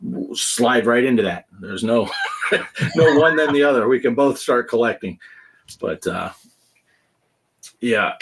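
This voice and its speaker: Man, 50s, podcast host, husky voice, lively pitch, chatty storyteller, frequent laughs. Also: measured pace, pauses often, poor audio. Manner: chatty storyteller who laughs often and acts out quoted lines. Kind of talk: casual chat.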